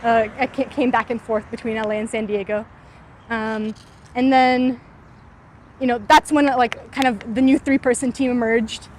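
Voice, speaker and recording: A woman's voice: on the high side, steady tone, normal speed, slight background noise. A woman in her twenties from California, talking casually in an interview about her startup, with many filler words. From California, USA. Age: 20s. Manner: frequent "like" and "um" fillers, thoughtful. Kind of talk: casual interview while walking. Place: outdoors on a city street.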